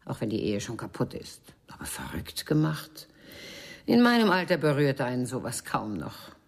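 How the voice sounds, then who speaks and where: An actress playing a haughty, disdainful middle-aged Parisian madame in a radio crime drama, with a husky, breathy voice and theatrical delivery. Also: female voice, rumbling low, huge pitch swings, moderate pace, exaggerated voice. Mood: bitter, composed. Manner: haughty, theatrical, swooping between indignation and hushed tones. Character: haughty mature Parisian bourgeois madame, disdainful, betrayed wife.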